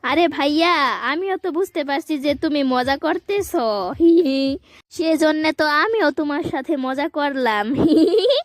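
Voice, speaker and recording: A woman's voice: high voice, unhurried, no echo. A woman voicing a stubborn, playful young girl in a comic cartoon, whiny and argumentative, with a Bangladeshi accent.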